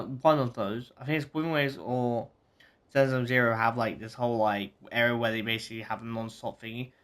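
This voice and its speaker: A streamer giving casual, informal gameplay commentary in a rambling way. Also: medium pitch.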